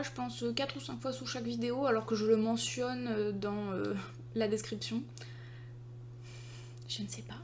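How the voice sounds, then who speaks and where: Young woman from France, slightly high voice, casual and lively, exasperated vlog storyteller. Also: slight background noise. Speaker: artist and video creator. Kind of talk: casual chat to the camera.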